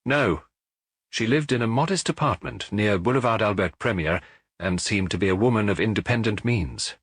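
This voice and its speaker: A man reading an audiobook, voicing a middle-aged male police officer who gives his report in a measured, matter-of-fact way. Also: lowish voice, breathy edge, dry recording, studio quality. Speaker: audiobook reader.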